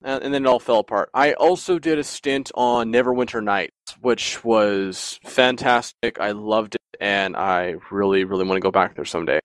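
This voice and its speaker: A man's voice: on the low side, normal speed, few pauses, no room sound. A man in casual podcast chat, telling long rambling stories with frequent laughs. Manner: rambling, animated storyteller who often laughs.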